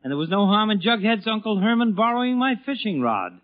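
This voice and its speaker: A man's voice: high-pitched, big pitch swings, fast. An actor playing a blustering, sarcastic middle-aged father in a theatrical, put-upon 1940s radio comedy style. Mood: exasperated, indignant. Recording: muffled old 1940s radio broadcast.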